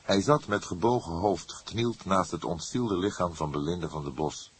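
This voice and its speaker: Very deep, husky male audiobook narrator. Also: normal speed. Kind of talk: scripted crime novel narration.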